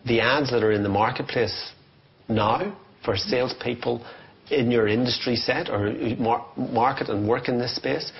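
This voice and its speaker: A man's voice: mid-range voice, gravelly, lo-fi sound. A man giving informal business coaching as a sales trainer, explaining points emphatically with short, direct instructions.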